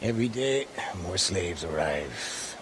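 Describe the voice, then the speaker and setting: An actor playing a proud, principled Maroon rebel leader with a Haitian Creole accent, speaking in a measured, earnest way. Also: male voice, low-pitched, lively intonation, a bit bright, slight background noise, full character voice. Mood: grave, measured. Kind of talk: dramatic video game acting.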